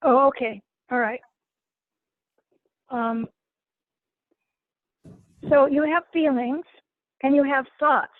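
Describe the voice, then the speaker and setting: A woman, as a guest facilitator, speaks gently to an online group and often repeats words as she searches for them. Her voice is hoarse. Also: high-pitched, steady tone, slow pace, long pauses, dry recording, narrow, tinny audio. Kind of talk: informal lecture to an online group.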